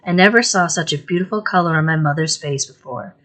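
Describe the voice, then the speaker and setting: A falsely friendly gentleman, acted by a woman reading a storybook, hearty and ingratiating in every word. The woman's own low voice lifts a little for him. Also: fast talker.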